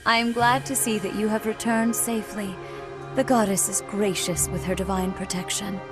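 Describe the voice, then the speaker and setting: A woman playing a devout archbishop in a video game, formal, measured and solemn, with serene authority. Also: medium pitch, level tone, over music, natural voice. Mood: serene, gracious.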